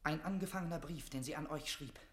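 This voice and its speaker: A man's voice: a little high, fast, fairly bright tone, a little hiss or hum, lightly acted. An actor plays a young male plotter in a classical radio drama. He is reckless and desperate, speaking in fervent, declamatory phrases with constant urgency. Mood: urgent warning.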